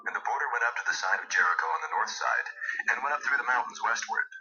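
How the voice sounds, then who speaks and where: A man narrating scripture from a script in a solemn, measured, formal manner. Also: medium pitch, lively intonation, bright, forceful tone. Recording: dull, lo-fi radio-like sound. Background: music.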